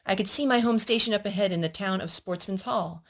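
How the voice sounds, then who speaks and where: A woman's voice: mid-range voice, brisk. A woman hosting a children's storytime, reading picture books aloud and asking little prompting questions in a child-directed way.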